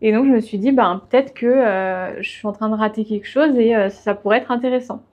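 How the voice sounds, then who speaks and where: A woman's voice: a little high, brisk, a little mellow, dull audio. A young woman podcast guest, telling her own story in a casual, conversational way. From of Portuguese family background. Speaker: podcast guest, freelance web writer.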